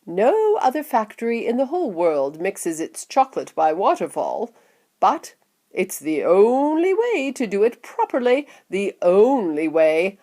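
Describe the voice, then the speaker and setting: A woman's voice: medium pitch, sing-song, warm tone. A woman voicing an exuberant, eccentric male candy factory owner who proudly shows off, stretching words theatrically in a storybook reading. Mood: showy delight. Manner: theatrical, drawn-out emphatic words.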